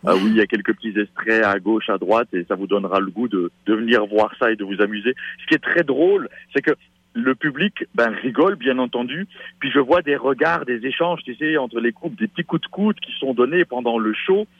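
Husky middle-aged actor, chatty and lively. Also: male voice, medium pitch, fairly quick, dry recording. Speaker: stage actor, guest. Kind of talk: radio interview, promoting his show. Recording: dull, slightly lo-fi radio sound.

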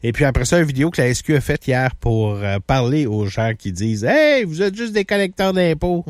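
A man with a Québécois accent, hosting a radio show and telling stories in animated bursts. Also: mid-range voice, dry recording, clean studio sound. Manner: animated storyteller, quick bursts. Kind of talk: casual chat.

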